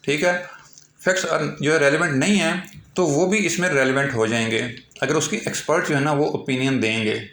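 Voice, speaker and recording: A man with a Pakistani accent giving an explanatory law lecture, going step by step with repetition and reading formal passages aloud slowly and haltingly. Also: a little high, fairly bright tone, slight background noise. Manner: slow, halting when reading English aloud. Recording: echoey room.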